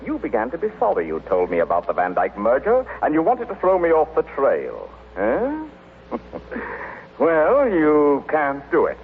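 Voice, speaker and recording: A man's voice: a little high, huge pitch swings, unhurried, exaggerated voice. Pompous, swooping businessman. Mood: smug, insistent. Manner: formal, blustery, hemming. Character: pompous, shrewd businessman, self-satisfied and suspicious. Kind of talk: old-time radio comedy acting. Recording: noisy, tinny old-time radio recording.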